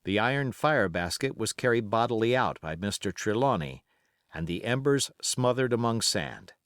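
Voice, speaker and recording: A man reading literary narration as an audiobook, expressive and measured in his storytelling. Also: lowish voice, clean tone, dry recording, studio quality.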